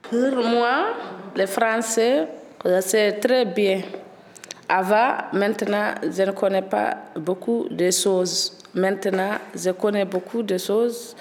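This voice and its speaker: A woman's voice: mid-range voice, slow, big-room sound, a little hiss or hum. A woman learning French, speaking in short, simple sentences in a testimonial. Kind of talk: spoken testimonial.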